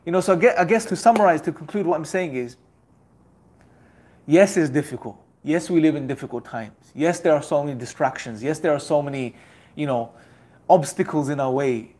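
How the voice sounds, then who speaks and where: A young man gives a motivational religious talk. His voice sits a little higher than most men's. He speaks earnestly and exhorts his listeners, building his points through rhetorical repetition. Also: brisk, darkish tone.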